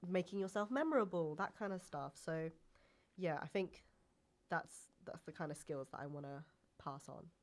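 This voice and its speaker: A woman's voice: on the low side, moderate pace. A young woman from England, chatting casually as a podcast guest and filling her talk with "like" and "um". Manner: chatty, heavy use of "like" and "um".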